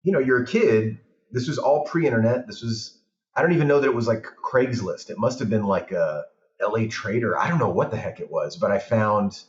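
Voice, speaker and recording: Low-voiced man telling a rambling story. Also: animated, fairly quick. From Portugal. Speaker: podcast guest, film director. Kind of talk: casual chat. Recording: roomy, muffled sound.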